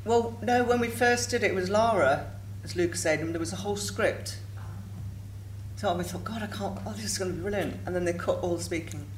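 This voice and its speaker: A woman's voice: medium pitch, a little fast. A woman answering fan questions as a guest on a panel, rambling and self-deprecating, laughing easily. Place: large event hall with audience. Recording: echoey hall, distant mic, loud room noise.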